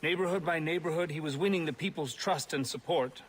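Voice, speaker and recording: An actor playing an articulate, formal and earnest revolutionary gentleman in game drama dialogue. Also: a little high, brisk, a little hiss or hum, natural voice. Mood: grave, resolute.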